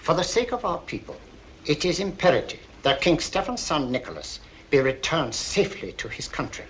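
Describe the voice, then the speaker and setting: An actor playing an earnest, formal, courteous older prime minister in a 1940s film. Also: male voice, a little high, sing-song, normal speed, a bit bright. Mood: grave. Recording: faintly noisy old 1940s film soundtrack.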